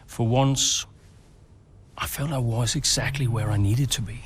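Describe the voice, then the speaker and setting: A man's voice: mid-range voice, fairly even intonation, measured pace, noisy background, lightly acted. An actor playing a retired racing driver and father, speaking candidly and hesitantly, as if interviewed in a game cutscene. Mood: regretful, candid.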